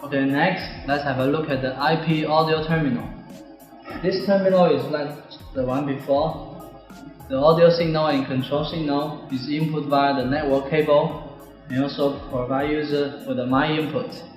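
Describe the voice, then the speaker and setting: A man's voice: a little high, steady tone, normal speed. Echoey young man with presenting. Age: 20s. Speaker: product presenter. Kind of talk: product demonstration, scripted narration. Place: bare indoor office or classroom. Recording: very echoey room, music behind.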